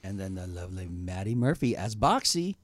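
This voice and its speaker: A man's voice: deep voice, sing-song, a little fast. A man hosting a casual radio interview, chatty, with many restarts and laughs. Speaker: host.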